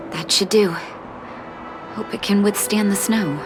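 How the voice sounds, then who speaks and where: A woman voicing a gentle, composed female doctor with quiet sorrow in video game story dialogue, soft and reflective. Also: medium pitch, takes it slowly, lightly acted. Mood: resolved, gentle. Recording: background game music.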